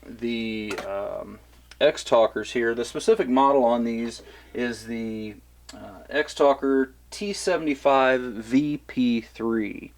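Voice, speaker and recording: A man giving a relaxed, rambling product review of radios, with lots of fillers and restarts. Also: mid-range voice, takes it slowly. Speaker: survival gear reviewer.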